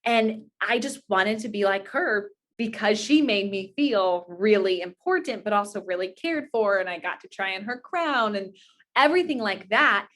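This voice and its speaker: A woman's voice: mid-range voice, lively intonation, moderate pace, fairly bright tone. A woman in her twenties talking earnestly and at length as an interview guest, in a conversational, anecdotal style. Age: 20s. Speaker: interview guest, pageant titleholder.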